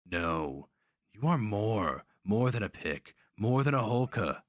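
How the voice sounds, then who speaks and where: A man's voice: lowish voice, very animated, slow, no echo, studio quality. A man voicing a canny, mocking older slaver and mentor in a dramatic fantasy reading, needling and emphatic.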